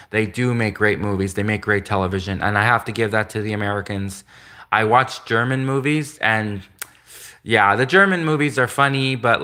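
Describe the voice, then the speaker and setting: A man hosting a live stream, talking in a rambling, earnest way, often repeating himself, with a soft voice that some hear as gender-ambiguous. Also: lowish voice. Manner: repetitive, earnest, restates points often; greets viewers and reads comments aloud.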